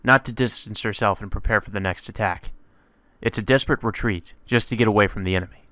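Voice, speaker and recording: A man's voice: fairly low pitch, fast talker, pushed and bright, no echo. A man narrating a visual novel aloud in a dramatic read-aloud style, as a let's play host. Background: music.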